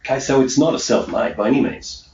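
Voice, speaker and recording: A man's voice: medium pitch, fast, darkish tone. A middle-aged man giving emphatic, conversational interview answers as a coach, often repeating himself to drive points home. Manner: emphatic, repetitive, punctuates points with "okay". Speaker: interviewee, self-employed mindset coach. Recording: roomy, distant, muffled, faint background noise.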